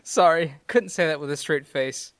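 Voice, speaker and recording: A woman's voice: low voice, moderate pace, dry recording, studio quality. A woman voicing a timid, giggly male cheetah judge who can't keep a straight face. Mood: sheepish.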